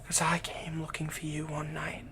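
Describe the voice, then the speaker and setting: A man playing a smitten, possessive villain in an intimate ASMR roleplay, speaking softly and breathily as he comforts his captive. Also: on the high side, monotone, slight background noise. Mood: quietly confiding. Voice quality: breathy, whispery. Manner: slow, soft, possessive, insinuating.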